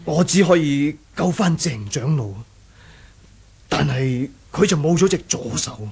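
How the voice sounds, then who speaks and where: A man's voice: fairly high pitch, expressive, measured pace, gravelly, darkish tone, slightly rough, heavily acted. An actor playing an eloquent, deferential male sect elder and schemer in a Cantonese martial-arts radio drama. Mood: solemn. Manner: deferential, theatrical, persuasive.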